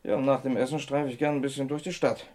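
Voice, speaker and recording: An actor playing a gruff, injured truck driver, an earthy, sardonic bachelor with slangy speech, in a vintage German radio crime play. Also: male voice, mid-range voice, steady tone, fast talker, a little mellow, lightly acted. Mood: blunt, chatty.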